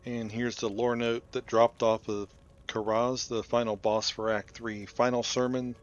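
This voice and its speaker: A man's voice: mid-range voice, flat delivery, a little slow, lo-fi sound. A man giving casual gameplay commentary as host of a playthrough, talking informally and thinking aloud. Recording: loud music behind the voice. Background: game music.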